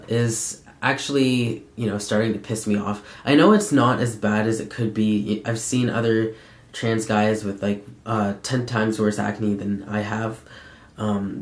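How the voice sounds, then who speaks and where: A young man giving a casual, candid personal update in a conversational, halting way with frequent pauses. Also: lowish voice. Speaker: vlogger. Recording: echoey room sound.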